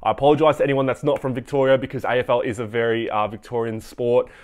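A man's voice: mid-range voice, little pitch movement, fast talker. Young male channel host, stuffy-nosed and congested, rambling casual commentary at a desk. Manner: chatty, rambling with fillers and restarts. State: congested, sniffly. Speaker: card collecting channel host. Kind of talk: casual box-break commentary. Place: indoor room at a desk.

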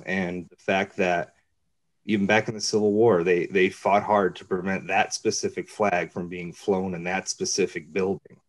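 A man who works as a journalist, speaking slowly and in a measured way at a press conference. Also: low voice, level tone. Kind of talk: reflective spoken commentary.

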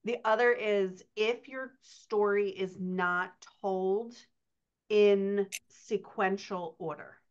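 A woman's voice: mid-range voice, steady tone, slow pace, clear, resonant tone. A middle-aged woman chatting and explaining casually as a podcast coach over a video call, with slightly dull audio. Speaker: dialogue coach, podcast guest host.

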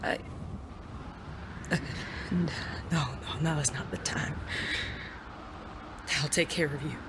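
A genteel gentleman bandit played by an actor in a Western audio drama. The speech is formal, polite and tender. There is clear background noise around the voice. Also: mid-range voice, slow, close to an everyday voice. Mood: anxious, tender. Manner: polite, formal, refined wording.

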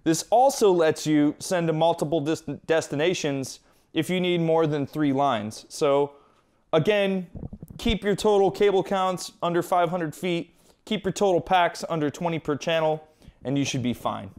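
A man with a fairly high, clear voice walks through a technical tutorial. He explains each step informally and conversationally, like a hands-on instructor. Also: moderate pace, darkish tone. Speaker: instructor presenting an equipment tutorial.

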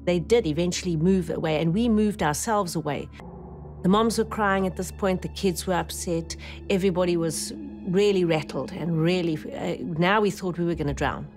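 Woman, urgent halting retelling. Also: on the low side, darkish tone, soft background music. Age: middle-aged. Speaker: shipwreck survivor interviewee. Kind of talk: documentary testimony. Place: dark indoor interview studio.

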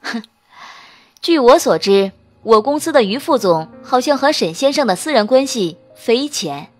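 A woman's voice: on the high side, big pitch swings, unhurried, faint music behind. A woman playing a proud, cutting businesswoman. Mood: cool, cutting. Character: sharp-tongued rich young businesswoman, proud and cool.

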